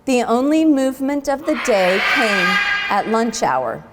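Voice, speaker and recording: Unhurried, higher-voiced woman in echoing space. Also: very animated, heavy background noise. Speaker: zoo educator reading a storybook aloud. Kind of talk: children's storytime reading. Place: animal barn at a zoo. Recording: long echoing hall reverb.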